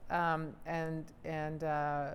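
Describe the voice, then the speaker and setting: A woman's voice: low-pitched, flat delivery, drawn-out words, clean tone. A middle-aged woman speaking conversationally as a mayor on a casual panel. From born in Denmark. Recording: echoey hall reverb.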